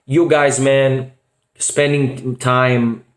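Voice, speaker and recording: A man's voice: medium pitch, little pitch movement, extremely slow. A middle-aged man chatting casually and earnestly as a video host, with emphatic repetition and slangy asides. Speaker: host of a reaction video channel. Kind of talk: casual chat. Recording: echoey, roomy sound.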